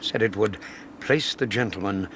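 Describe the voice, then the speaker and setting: An English actor playing a detective's loyal, earnest companion in a radio drama, speaking in a forthright, gentlemanly way. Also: male voice, on the low side, moderate pace, noisy background, lightly acted. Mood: sober.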